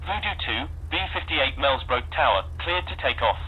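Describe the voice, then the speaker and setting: A man's voice: medium pitch, expressive, normal speed, muffled recording. Man as terse air controller over noisy radio. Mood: terse, procedural. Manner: terse, procedural. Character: automated air traffic controller, male, brisk and impersonal. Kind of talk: formulaic radio clearances and advisories. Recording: noisy aircraft radio transmission.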